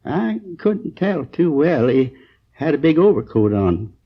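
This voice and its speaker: A gravelly old American man in his sixties, a hurt and drowsy storekeeper. He rambles in a halting, folksy, earnest way, on a dull 1950s crime drama recording. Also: a little high, big pitch swings, full character voice. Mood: straining to recall. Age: 60s. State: groggy, in pain.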